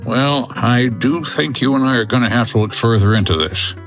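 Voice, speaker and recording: An actor playing a sardonic, unflappable hotel owner in a noir comedy radio drama, delivering lines deadpan. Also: male voice, mid-range voice, moderate pace, music in the background, close to an everyday voice. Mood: dry, sardonic. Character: dry, unflappable American hotel owner, noir tough guy.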